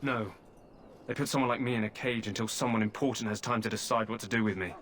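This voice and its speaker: A man's voice: lowish voice, steady tone, quick pace, a bit bright, slight background noise, lightly acted. Caged deserter, hungry and cheeky, voiced by a man; wry, wheedling delivery. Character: hungry, flirtatious male prisoner, a deserter. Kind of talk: video game voice acting.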